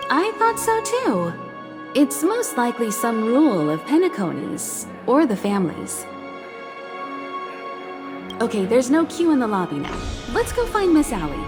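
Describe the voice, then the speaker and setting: A woman's voice: on the high side, huge pitch swings, unhurried, long pauses. A woman playing a thoughtful, practical young adventurer in a video game, talking casually. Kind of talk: English video game voice acting. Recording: prominent music behind the voice.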